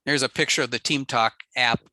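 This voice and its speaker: A man giving a relaxed technical slide talk on a radio network, explaining with frequent ums and uhs. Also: medium pitch, fairly quick, no echo. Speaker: ham radio presenter.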